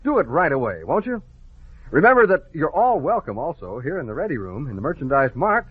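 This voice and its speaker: A man's voice: fairly high pitch, big pitch swings, a little fast, slightly rough, phone-quality sound. A man with an American accent, announcing on a 1950s children's radio show, urging young listeners in a cheery, direct way on an old broadcast recording.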